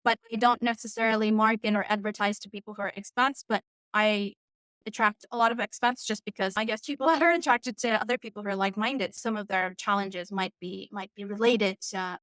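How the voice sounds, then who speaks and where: A woman from Turkey whose first language is Turkish, a coach guest on a podcast, chatting casually and warmly with many fillers. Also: medium pitch, steady tone, fairly quick, no room sound, poor audio. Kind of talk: casual interview chat.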